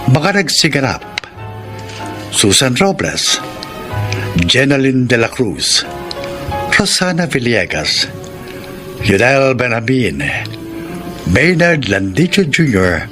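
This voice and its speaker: A man with a Filipino accent reading scripted radio drama narration and credits in a solemn, measured way. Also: mid-range voice. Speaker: drama anthology announcer. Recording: music behind the voice.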